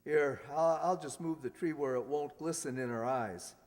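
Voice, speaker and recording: Man playing a blustery high-voiced school principal. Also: normal speed, over a noisy crowd, lightly acted. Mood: grumpy. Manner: pompous, blustering, theatrical. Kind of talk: old-time radio sitcom acting. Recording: long hall reverb.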